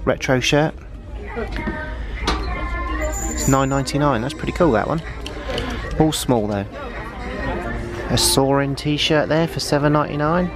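A man's voice: fairly high pitch, sing-song, a little slow, pauses often. A man hosting a casual shopping vlog, narrating from behind a handheld camera as he browses. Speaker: vlog host. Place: busy retail store indoors. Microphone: handheld camera, operator behind it. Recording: loud store music and crowd noise. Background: loud music and shopper crowd.

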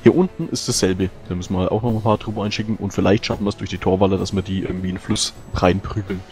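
A man's voice: low-pitched, fairly even intonation, very fast, darkish tone. A man, a player in a tabletop strategy game, talking eagerly and often repeating himself. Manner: eager, repeats words, restarts. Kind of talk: strategy discussion.

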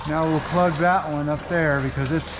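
A man's voice: fairly high pitch, fairly even intonation, moderate pace, background noise. A middle-aged American man narrating a casual vlog in a folksy, rambling way, as if chatting to himself and the viewer. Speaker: vlogger and tradesman.

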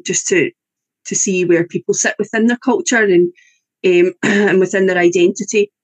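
A woman's voice: on the low side, a little mellow, breathy edge, no room sound. A woman with a Scottish accent, an English-language teacher, giving an online presentation over a video call, often hesitating with fillers and restarts. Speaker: EAL teacher.

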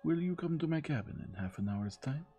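A man's voice: on the high side, lively intonation, dark tone, highs cut off, faint music behind, pitched above the performer's own voice. A man voicing a courteous, formal detective in an audiobook mystery. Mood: politely probing. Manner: polite, formal, deliberate. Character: courteous, authoritative foreign male detective.

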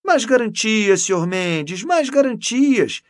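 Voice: medium pitch, very animated, measured pace, slightly rough, no room sound, studio quality. A reader voicing a pompous, self-satisfied, gossipy male priest who exclaims and repeats himself unctuously in an audiobook story. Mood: emphatic, self-satisfied.

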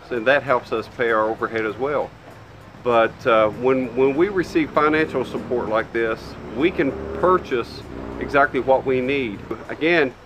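A middle-aged man gives a grateful, plain-spoken thank-you speech outdoors, caught by the camera mic. His voice sits at a middle pitch over faint outdoor noise. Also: a bit bright, rough recording. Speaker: charity representative. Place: outdoors on a porch.

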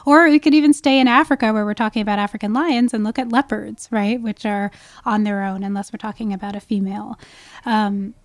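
A woman's voice: medium pitch, fairly even intonation, fairly quick, no echo, studio quality. A woman speaking as a guest expert in a conversational interview, explaining with enthusiasm. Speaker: guest expert, wildlife ecologist.

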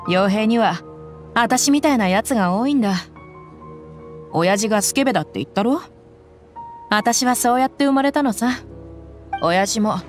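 Woman doing a tough young mercenary, blunt, rough casual talk, mid pitch, faint music behind. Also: lightly acted. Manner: rough, casual, relaxed speech.

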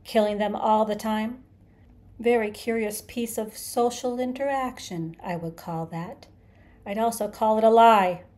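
A woman's voice: a little high, unhurried, darkish tone, voice pushed up, lightly acted. A woman voicing a vengeful, bitter male creature in an audiobook, snarling and hissing with sarcastic menace. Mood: accusing. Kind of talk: dramatized audiobook character.

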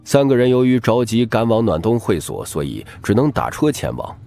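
A man's voice: mid-range voice, sing-song, moderate pace, vocal fry, warm tone, faint music behind. A man narrating a novel as scripted audiobook storytelling, with a dramatic delivery.

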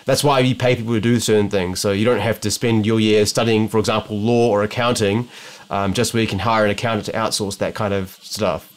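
A man's voice: lowish voice, fairly even intonation, fairly quick. A young man with a New Zealand accent muses aloud to a camera close in front of him, indoors at home. He rambles along casually, often breaking off and restarting his sentences as he works through his thoughts. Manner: musing, rambling, many restarts. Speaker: optometrist vlogger. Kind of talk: casual talking-head vlog. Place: home living room, indoors.

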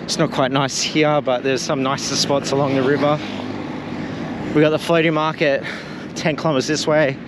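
A man's voice: on the high side, little pitch movement, moderate pace. Chatty male vlogger walking a street. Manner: chatty, rambling, self-deprecating. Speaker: travel vlogger; teacher. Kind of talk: casual walking commentary. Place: outdoors on a town street and bridge. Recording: street noise behind the voice.

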